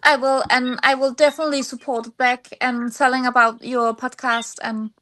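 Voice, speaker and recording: High-voiced Danish woman, interview guest. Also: level tone, sharp tone. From Denmark. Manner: halting, searching for words in non-native English. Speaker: singer-songwriter, podcast guest. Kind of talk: conversational interview answers.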